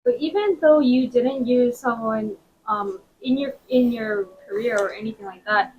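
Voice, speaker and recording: A woman interviewer asking questions in a casual, slightly hesitant, halting way. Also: fairly high pitch. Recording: dull lo-fi sound with faint noise.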